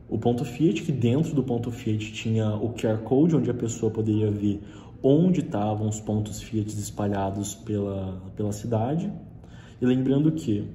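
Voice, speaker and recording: A young man from Brazil, a student, giving a relaxed spoken presentation of a class project, often restarting his sentences. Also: lowish voice. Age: young adult. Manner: relaxed, with frequent restarts and "então". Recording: echoey room with faint hiss.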